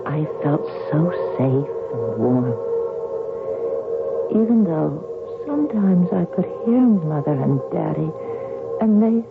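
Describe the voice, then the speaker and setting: An actress playing a haunted, wistful woman who recalls her childhood in a dreamy, halting way, in radio drama style. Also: sits low, sing-song, gravelly, heavily acted. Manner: slow, dreamy, halting, dwelling on repeated words. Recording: tinny band-limited radio with music underneath.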